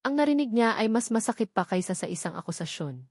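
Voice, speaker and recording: A woman's voice: fairly high pitch, sing-song, fast talker, darkish tone, slightly rough, no echo, clean studio sound. A woman reading a dramatic scripted story as an audiobook narrator.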